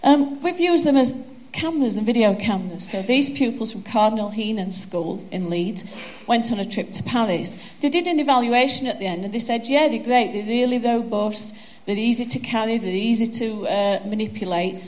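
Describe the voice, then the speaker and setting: A woman's voice: on the high side, moderate pace, a little mellow. A woman with a broad Yorkshire accent giving a chatty, joking conference presentation on a handheld microphone. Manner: chatty, self-deprecating, joking.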